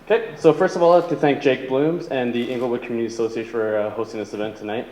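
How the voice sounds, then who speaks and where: A man's voice: medium pitch, steady tone, rapid-fire, darkish tone, dull audio. A man giving an earnest opening statement as a political candidate at a debate. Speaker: political candidate, Green Party. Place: indoor community debate venue. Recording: echoey hall with faint noise.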